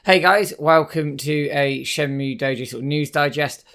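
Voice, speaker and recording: A hoarse man hosting a casual news commentary video, hesitant with many filler words. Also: a little high, moderate pace, fairly bright tone. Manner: hesitant, many ums and sort-ofs. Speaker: host.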